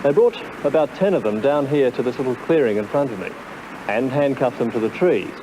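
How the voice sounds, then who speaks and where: A man's voice: medium pitch, expressive, fairly quick, noisy background. A man giving a television report outdoors on location.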